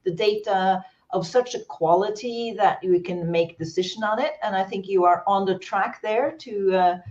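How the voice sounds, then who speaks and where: A woman's voice: mid-range voice, moderate pace, a little mellow. Hesitant woman moderating a webinar. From Norway. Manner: hesitant, many fillers and restarts. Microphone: video call. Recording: dull lo-fi call audio.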